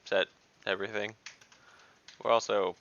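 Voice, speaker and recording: Low gravelly guy, bright edge, jokey and blunt, dull call audio. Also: level tone, normal speed. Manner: joking, direct, crude humor. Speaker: player. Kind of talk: casual tabletop play. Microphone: home mic on video call.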